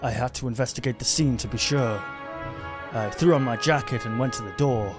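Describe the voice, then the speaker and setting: A rain-soaked crime game's narrator, a haunted detective acted by a man brooding in measured lines over the soundtrack. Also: medium pitch, music in the background, natural voice. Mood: grim, anxious. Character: brooding, haunted noir male detective, self-doubting monster.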